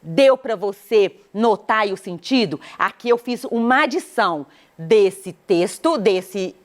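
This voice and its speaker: Slow, patient woman teacher. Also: mid-range voice, animated. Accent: Brazilian Portuguese. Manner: slow, patient explaining with frequent checks for understanding. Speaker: Portuguese language teacher. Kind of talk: recorded classroom lesson.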